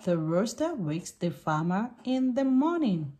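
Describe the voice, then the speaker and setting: A woman reading a picture book aloud for small children in simple, deliberate sentences. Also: medium pitch, very animated, dark tone.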